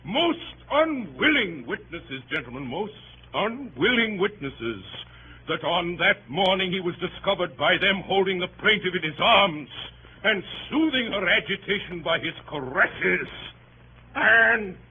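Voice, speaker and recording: Pompous barrister: high voice, highly animated grandiose oratory, vintage radio drama sound. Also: male voice, full character voice. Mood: insistent, accusing. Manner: theatrical, grandiose, emphatic oratory with dramatic pauses. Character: pompous, melodramatic male barrister addressing a jury. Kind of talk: 1930s radio drama courtroom oration. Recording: dull, noisy 1930s radio broadcast.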